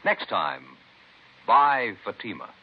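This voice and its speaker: Vintage ad announcer: American man, mid pitch, very varied intonation, emphatic, dull old recording. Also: very animated, slow. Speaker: commercial announcer. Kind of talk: 1950s radio advertising read. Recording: muffled old radio recording, faint noise.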